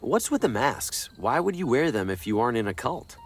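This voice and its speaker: An ordinary, slightly awkward adventure game hero. He sounds wary and wry, hesitating often, with animated pitch movement. Music runs softly underneath. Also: brisk. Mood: skeptical. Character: wary, awkward everyman salesman hero, dryly skeptical. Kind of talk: video game voice acting.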